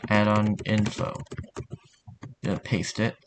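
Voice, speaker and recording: A man narrating a software tutorial in an informal, somewhat hesitant way. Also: on the low side, flat delivery, slow, background noise. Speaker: tutorial host.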